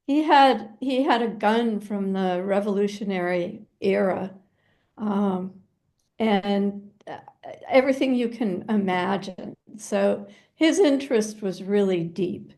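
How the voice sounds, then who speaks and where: Creaky, unhurried American woman. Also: medium pitch, a little mellow. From New Hampshire, United States. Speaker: guest, novelist. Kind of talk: casual interview storytelling.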